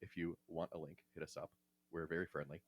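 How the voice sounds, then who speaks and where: A man's voice: deep voice, little pitch movement, quick pace, breathy edge, no room sound. A man co-hosting a casual podcast, talking in a joking, wry way. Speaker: podcast co-host.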